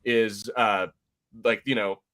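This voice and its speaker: A man hosting a casual podcast discussion, explaining things in an informal, rambling and halting way. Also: fairly low pitch, steady tone, fairly bright tone. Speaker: podcast host. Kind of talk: casual pop-culture discussion, explaining game lore.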